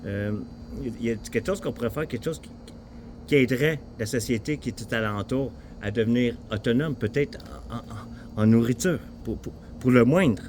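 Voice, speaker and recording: A man with a Quebec French accent chatting casually in a rambling, halting way, repeating himself and swearing informally. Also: mid-range voice, darkish tone, slight background noise. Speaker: vlogger talking with an AI assistant.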